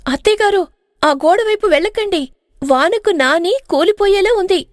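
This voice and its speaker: Woman acting meek high-voiced young daughter-in-law. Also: animated, normal speed, dry recording, studio quality. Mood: worried. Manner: respectful, earnest.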